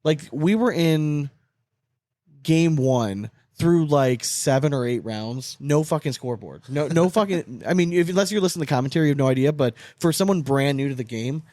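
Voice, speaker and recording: A man's voice: on the high side, expressive, moderate pace, warm tone, no echo, studio quality. A man co-hosting a sports podcast in casual chat, candid and loose, swearing freely and laughing between points. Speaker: podcast co-host.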